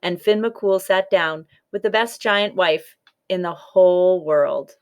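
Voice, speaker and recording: A woman's voice: lowish voice, slow pace. A woman reading a children's folktale aloud as scripted storybook narration. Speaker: story reader.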